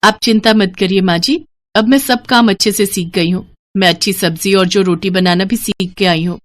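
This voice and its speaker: A woman voicing a meek, dutiful young daughter-in-law in a Hindi cartoon story, polite and expressive. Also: mid-range voice, moderate pace, no echo, lightly acted.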